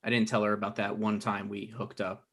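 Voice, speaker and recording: A man's voice: lowish voice, completely flat delivery, a little fast, vocal fry, a bit bright. A man in his thirties playing a flustered, exasperated boyfriend in a comedy table read on a video call, protesting and stammering.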